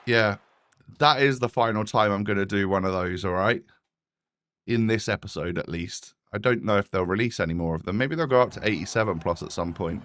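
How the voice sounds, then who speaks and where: A man's voice: mid-range voice, fairly bright tone. A British man with a slightly bunged-up nose, sounding tired as he gives chatty, casual gameplay commentary as a gaming host. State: slightly congested.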